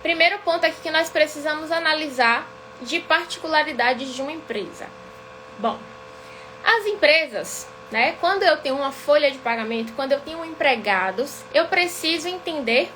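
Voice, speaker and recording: Bahian woman, high voice, teaching a live class, says "tá?" a lot, slight hum. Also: animated, moderate pace, bright, forceful tone, low-quality recording. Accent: Bahian Brazilian Portuguese. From Salvador, Bahia, Brazil. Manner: didactic, keeps checking in with "tá?". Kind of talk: live-streamed lesson.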